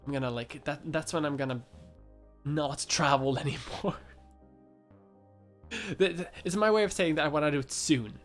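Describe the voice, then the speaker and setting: A man's voice: on the high side, normal speed, long pauses, a bit bright, soft background music. A man in his twenties from Europe, chatting casually on a livestream, rambling with many fillers and restarts and laughing often. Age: 20s. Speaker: YouTuber.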